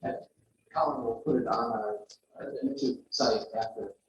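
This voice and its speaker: A man's voice: medium pitch, moderate pace, background noise. A man hosting a club meeting, moderating questions in a rambling, filler-heavy way. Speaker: meeting host. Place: meeting room. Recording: very echoey room, distant dull mic.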